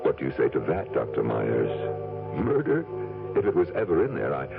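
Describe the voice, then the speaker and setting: A brooding husband with murder on his mind in a seventies radio mystery. His low voice swings widely and theatrically, often hesitant. The old recording is narrow and tinny. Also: huge pitch swings, moderate pace, creaky, heavily acted. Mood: brooding, confessional. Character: troubled husband who married rich, secretly murderous. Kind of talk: 1970s radio drama acting. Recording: narrow-band 1974 radio recording with music.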